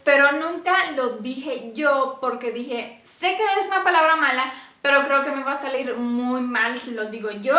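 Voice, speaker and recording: Young woman, high bright voice, chatty, laughs a lot, roomy echo. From Romania. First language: Romanian. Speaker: vlogger. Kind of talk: casual chat to camera. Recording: echoey room.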